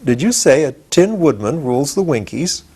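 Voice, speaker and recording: Storybook role: innocent pumpkin-headed man, acted out by a male reader, lifted pitch, swooping, forever curious and easily muddled. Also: very animated, moderate pace, darkish tone, breathy edge, exaggerated voice. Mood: puzzled. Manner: curious, wondering questioning. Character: naive pumpkin-headed man, newly made, jovial, easily confused.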